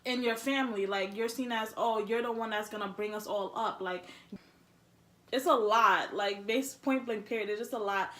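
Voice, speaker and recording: A woman's voice: medium pitch, moderate pace, bright, forceful tone. A woman, chatting candidly and informally as a vlog host. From born in Haiti, immigrated to the United States at four. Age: early 20s. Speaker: vlog host, college student. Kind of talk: casual personal chat. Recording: echoey room.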